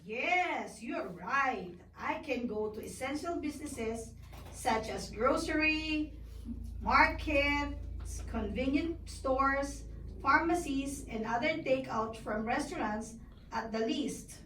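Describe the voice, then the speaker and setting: A woman acts out a polite female elephant puppet with a high voice and slow, earnest delivery. She sounds distant in an echoey, noisy room, like a guest on a children's puppet show. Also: sharp tone, exaggerated voice. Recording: echoey, distant, with background noise.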